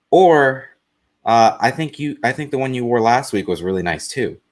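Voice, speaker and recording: Deep-voiced blunt man, monotone. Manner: dry, deliberate delivery. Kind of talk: casual live-show chat.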